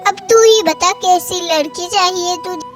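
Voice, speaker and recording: Cartoon granny neighbor, busybody matchmaker, extreme high pitch, voiced by a woman. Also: measured pace. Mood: coaxing. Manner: chatty, coaxing. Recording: clear music bed under the voice.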